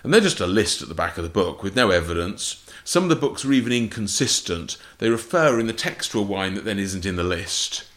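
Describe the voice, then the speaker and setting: A man's voice: on the low side, animated, a little fast, few pauses, fairly bright tone. An English man, an author on port wine, answering interview questions in precise, clipped phrases. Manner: precise, explanatory, lists facts in short clipped phrases.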